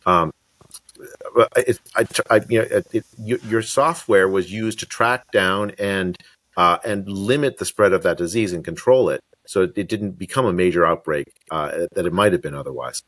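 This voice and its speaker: Man, 50s, podcast host, webcam video call, halting with lots of restarts, lowish voice, dry sound. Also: a bit bright, dry recording. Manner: halting, many restarts and fillers. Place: home study with bookshelves.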